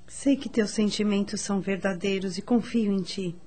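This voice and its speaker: Woman playing a gentle, mellow young sweetheart. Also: medium pitch, fairly even intonation, normal speed, close to an everyday voice. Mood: tender, resolute. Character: gentle, devoted young woman in love, charitable, earnest. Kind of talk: radio drama acting.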